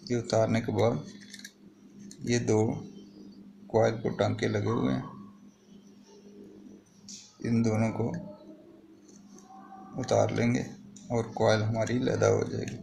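A man's voice: on the low side, flat delivery, slow pace, creaky, long pauses. A man explaining a hands-on repair step by step in a plain, improvised way, like a tutorial host. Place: indoor repair workbench. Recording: noisy home-made recording with clicks.

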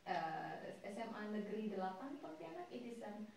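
A woman's voice: medium pitch, very animated, fairly quick, vocal fry, darkish tone. A woman in her thirties from Indonesia with an Indonesian accent, an English teacher answering interview questions with many hesitations. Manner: hesitant, many ums and uhs, laughs lightly. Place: classroom with whiteboard, indoors. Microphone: far camera mic. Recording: echoey classroom from far off.

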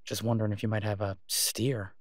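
A man playing a worried, devoted boyfriend in an audio roleplay, speaking haltingly and earnestly, as in intimate conversation. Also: on the low side, flat delivery, no echo, clean studio sound, natural voice. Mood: gentle, tentative.

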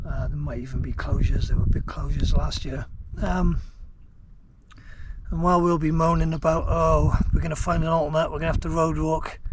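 A man's voice: a little high, creaky. Middle-aged man vlogging outdoors, noisy sound. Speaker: hiking vlogger. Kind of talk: casual video diary chat. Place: outdoors on a trail and at a campsite. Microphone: action camera at arm's length or close by.

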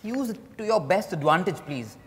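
A man's voice: sits high, lively intonation, normal speed, a bit bright. Man acting Mriganko, candid writer, echoey hall. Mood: opinionated. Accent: Indian. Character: Mriganko, candid, evasive male writer and lover. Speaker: actor. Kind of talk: dramatized stage reading. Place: large hotel ballroom stage, indoors. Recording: echoey hall stage recording.

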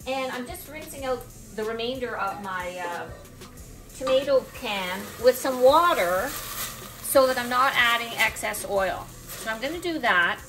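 A middle-aged woman talks through a recipe in her home kitchen, chatty and thinking aloud as she cooks. Her voice sits slightly high. The room is echoey, and music plays clearly underneath. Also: lively intonation, a little slow, sharp tone. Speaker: cooking vlogger. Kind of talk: casual cooking demonstration.

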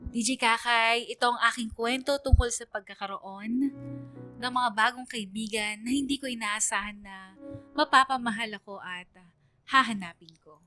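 A woman's voice: on the high side, normal speed, sharp tone. A woman playing a shy young Filipino woman who narrates her own story for radio, confiding and reflective. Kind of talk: scripted first-person radio narration. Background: music under the voice.

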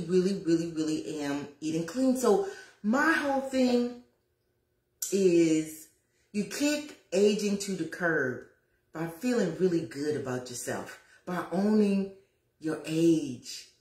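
A woman in her fifties chatting to viewers on a livestream while cooking in her home kitchen, lively and preachy, calling out to them as y'all. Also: medium pitch. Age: 50s. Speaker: lifestyle vlogger. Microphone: phone camera at arm's length. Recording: roomy kitchen echo.

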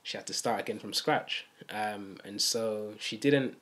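Low-voiced young London man. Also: unhurried, a bit bright. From East London, UK. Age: young adult. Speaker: video co-host. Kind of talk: casual conversational talk. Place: small furnished room, indoors.

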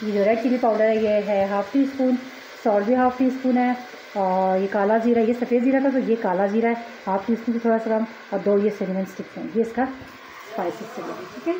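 A woman's voice: a little high, fairly even intonation, a little fast, mellow. A middle-aged woman giving a casual home cooking tutorial, explaining each step with chatty asides. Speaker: cooking vlogger. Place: home kitchen at the stove. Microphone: phone camera held over the pot. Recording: echoey kitchen with cooking noise. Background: frying and sizzling.

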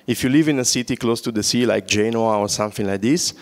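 A man's voice: fairly low pitch, fast talker. A man with an Italian accent presenting at a conference in a large hall, joking and quizzing the audience through a handheld microphone. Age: older. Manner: joking, engages audience with questions. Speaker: conference speaker. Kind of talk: conference talk. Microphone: handheld mic.

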